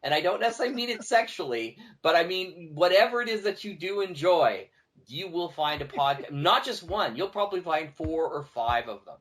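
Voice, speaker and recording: A man's voice: sits high, normal speed. Chatty man telling stories on a podcast. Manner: animated storyteller, acting out quoted remarks. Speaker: podcast guest, teacher and audio drama producer. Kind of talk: casual conversational interview talk.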